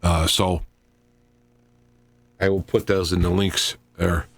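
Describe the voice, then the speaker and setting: Low-voiced man hosting a talk show. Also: flat delivery, dry recording. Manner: halting, digressive, thinking aloud. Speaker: talk radio host. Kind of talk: informal solo talk radio monologue.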